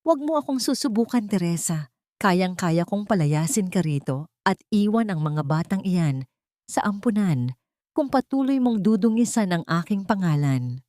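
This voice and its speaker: A woman's voice: medium pitch, lively intonation, moderate pace, a little mellow, no room sound, studio quality, natural voice. A woman voicing a cruel, haughty elderly matriarch in an audiobook drama, scolding and threatening in an imperious way. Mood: furious, threatening.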